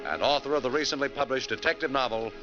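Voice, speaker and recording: A man's voice: mid-range voice, fast talker. A man reading a scripted introduction as a 1940s radio announcer. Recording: dull old radio recording, music behind.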